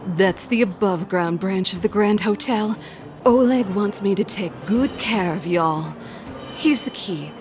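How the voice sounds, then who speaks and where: A woman's voice: mid-range voice, unhurried, darkish tone, faint music behind, lightly acted. A woman playing an easygoing, hospitable hotel keeper in a video game, with a casual, folksy manner. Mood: welcoming. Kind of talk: English game voice acting.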